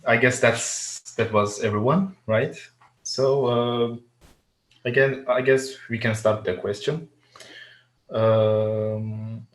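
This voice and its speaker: Guy moderating a video call chat, slow easygoing, kinda echoey room. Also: on the low side, fairly bright tone. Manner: unhurried, friendly, hesitant fillers. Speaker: discussion moderator. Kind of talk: casual group video call.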